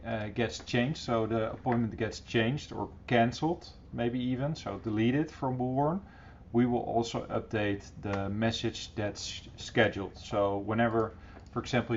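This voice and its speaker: Gravelly man giving a software walkthrough. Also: mid-range voice. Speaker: software tutorial presenter. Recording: dull, slightly noisy computer recording.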